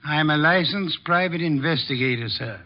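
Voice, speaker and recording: An actor playing a shrewd, imperious private detective with formal, deliberate speech in an old radio drama. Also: male voice, fairly high pitch, lightly acted. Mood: measured, probing. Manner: formal, deliberate, precise questioning. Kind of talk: 1940s-50s radio detective drama acting. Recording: dull old radio broadcast recording.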